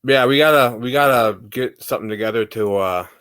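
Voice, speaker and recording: A man's voice: mid-range voice, fairly quick, a bit bright, clean tone. A man in his forties hosting a podcast, asking questions in a casual, chatty interview style. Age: 40s. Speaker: podcast host.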